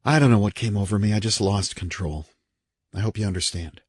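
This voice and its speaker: A man's voice: fairly low pitch, brisk, darkish tone, slightly rough. A man voicing an apologetic, bewildered husband in an audiobook, earnest and uneasy in manner.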